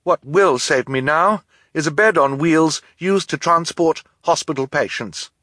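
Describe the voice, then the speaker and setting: A man's voice: a little high, unhurried, pushed and bright, no echo, clean studio sound, pitched above the performer's own voice. A man voicing a brave, bookish young boy who speaks earnestly and defiantly with urgent emphasis. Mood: resolute. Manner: urgent, raised, emphatic delivery.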